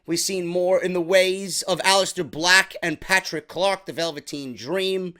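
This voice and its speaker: A young man from New York, chatty and slightly hoarse from a sore throat, reviewing a wrestling show in a casual vlog. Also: sits high, unhurried, bright, forceful tone. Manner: chatty, keeps calling viewers "man".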